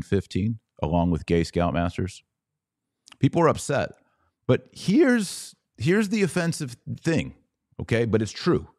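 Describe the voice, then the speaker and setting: A man's voice: sits low, a little mellow, no room sound, studio quality. An American man hosting a podcast, giving informal, opinionated religious commentary in an emphatic, conversational way with rhetorical asides.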